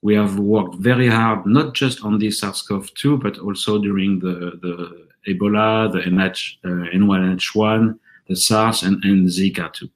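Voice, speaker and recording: A man with a French accent giving a measured, earnest conference presentation for his company. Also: on the low side, fairly even intonation. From France. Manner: earnest, measured delivery with occasional restarts.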